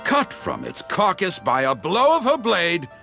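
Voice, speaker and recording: An actor playing a dramatic street storyteller in a game, telling heroic tales grandly with suspenseful pauses. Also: male voice, sits high, very animated, bright, forceful tone, heavily acted. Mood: boastful. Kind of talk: theatrical storyteller narration. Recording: background music under voice.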